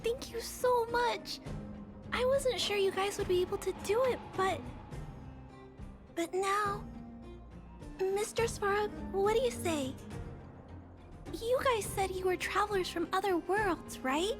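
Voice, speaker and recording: A woman's voice: very high voice, slow, long pauses. A woman playing a sweet, earnest little girl in a video game, polite, innocent and a little hesitant. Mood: grateful, hopeful. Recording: game music under the voice.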